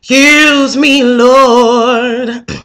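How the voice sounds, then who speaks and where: A hoarse, middle-aged woman sings gospel a cappella, high and bright despite the roughness. She draws out every phrase very slowly and earnestly. She is recorded on a webcam microphone in a small room at home. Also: steady tone, soft background music. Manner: earnest, drawn-out sung phrases. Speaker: amateur singer. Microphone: built-in webcam mic.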